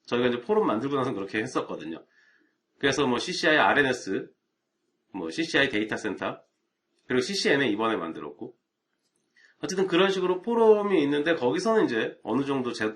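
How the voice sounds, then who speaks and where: A man's voice: a little high, fairly bright tone. A middle-aged man, an IT networking instructor, talking casually and chattily to viewers on a live stream, with rhetorical asides.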